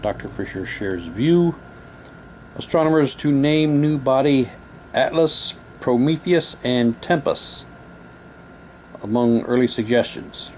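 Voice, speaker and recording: A man casually reading printed material aloud in a halting way, with muttered asides to himself. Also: mid-range voice, lively intonation, darkish tone, slight background noise, low-quality recording. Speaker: unboxing presenter. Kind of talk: casual unboxing, reading old newspaper props aloud.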